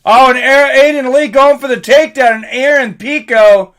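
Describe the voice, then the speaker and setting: A man hosting a live fight stream, excitable and informal, mixing commentary with casual chat to viewers. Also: very high-pitched, moderate pace. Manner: excitable, informal, swearing, reacting aloud.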